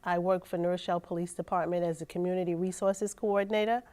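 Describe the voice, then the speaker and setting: A woman's voice: fairly low pitch, fairly even intonation, fast talker, dark tone. A woman, a community resources coordinator, speaking reflectively and encouragingly in an interview. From New York.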